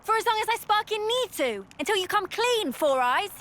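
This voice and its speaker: A woman with a British accent voicing a feisty, blunt young female soldier who is quick to take offence in a video game. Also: fairly high pitch, very animated, fairly bright tone, slight background noise. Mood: indignant. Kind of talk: scripted video game voice acting.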